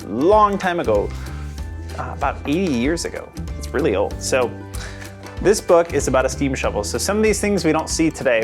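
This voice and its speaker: A man reading a children's picture book aloud in an expressive storytelling manner. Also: fairly high pitch. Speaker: storybook reader.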